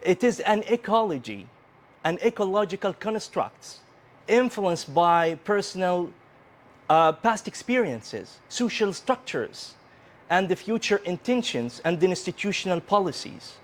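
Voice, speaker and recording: A man with an Egyptian accent gives a conference presentation. His voice sits a little high for a man, and he moves slowly through his prepared points. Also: big pitch swings. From Upper Egypt. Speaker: conference presenter, English teacher.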